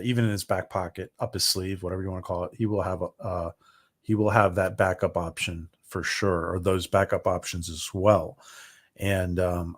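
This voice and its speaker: Rambling man going hoarse, low voice. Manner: rambling, many ums and restarts. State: voice starting to go hoarse. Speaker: livestream host. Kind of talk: casual livestream chat reading viewer comments.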